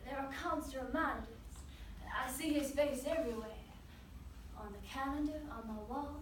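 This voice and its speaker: A woman's voice: sits high, moderate pace, natural voice. A woman performing a dramatic monologue as a devoted, grieving mother, telling her memories in a solemn, tender way. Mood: wistful. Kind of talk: competitive dramatic interpretation monologue. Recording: roomy, distant mic, faint noise.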